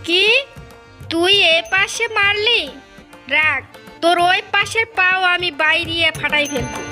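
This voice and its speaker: A woman's voice: extremely high pitch, a little slow, over music. A woman voicing a jealous, bickering village wife, insistent and argumentative, in a Bengali fairy tale cartoon. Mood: furious.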